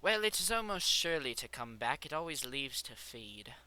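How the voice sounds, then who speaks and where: A man voicing a nervous, fussy male doctor who frets aloud in a high, excitable voice. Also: normal speed, rough recording. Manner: anxious, fretful, high excitable voice.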